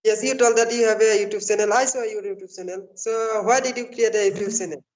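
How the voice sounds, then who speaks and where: Man from Assam, Bengali native speaker, high voice, polite and hesitant, stops and restarts often. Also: steady tone, fast, rough recording. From Assam, India. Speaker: English learner. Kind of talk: casual practice conversation.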